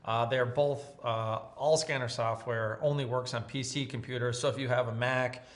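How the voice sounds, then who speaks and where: A man in his thirties talks through a product comparison in a casual, conversational style. His voice sits at an average pitch for a man. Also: fairly even intonation, normal speed. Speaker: product reviewer. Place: room with brick wall, at a table.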